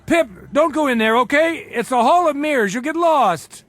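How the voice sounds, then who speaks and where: A man's voice: very high-pitched, moderate pace, a bit bright, dry recording. An actor playing a desperate, remorseful middle-aged father and small-time hustler, urgent and emotional, in radio-drama style. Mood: anxious. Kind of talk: dramatic radio-play acting.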